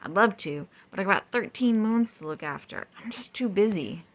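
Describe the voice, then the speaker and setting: A woman voicing a big, friendly male planet who talks in a booming way. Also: expressive, moderate pace, close to an everyday voice. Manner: booming, loud.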